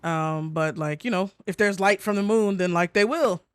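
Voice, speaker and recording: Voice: mid-range voice, normal speed, smooth, no room sound. Slangy American podcast co-host, dry close sound. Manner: slangy, informal, swearing. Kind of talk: casual chat.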